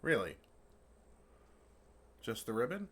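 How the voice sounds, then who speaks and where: A man's voice: medium pitch, sing-song, takes it slowly, slightly rough, heavily acted. A man playing a friendly, naive male dragon who talks earnestly and playfully to a human in an ASMR roleplay. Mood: puzzled, chatty. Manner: earnest, playful, puzzled, soft chuckles. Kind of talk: ASMR roleplay, intimate one-sided dialogue.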